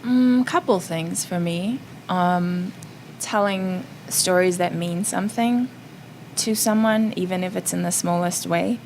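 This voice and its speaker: A woman from South Africa speaking in an earnest, measured way as a panelist in a roundtable discussion. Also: on the low side, gravelly, darkish tone, a little hiss or hum. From Cape Town, South Africa.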